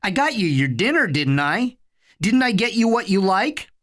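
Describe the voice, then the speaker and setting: A woman's voice: mid-range voice, sing-song, normal speed, voice pushed up, natural voice. A woman voicing an impatient older boy who coaxes his little brother. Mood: coaxing. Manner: impatient, coaxing.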